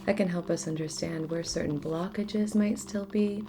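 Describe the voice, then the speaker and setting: A woman speaking softly as host of a guided spiritual ritual, often slipping into a near-whisper, in a meandering, casual chat. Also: fairly low pitch, steady tone, moderate pace, slight background noise. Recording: music clearly under the voice. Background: music.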